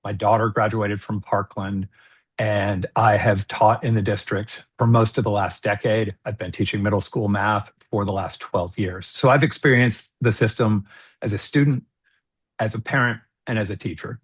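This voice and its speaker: Man, middle-aged, earnest, measured, video call audio. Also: on the low side, steady tone, slightly rough. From United States. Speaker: school board candidate. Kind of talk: forum candidate statement.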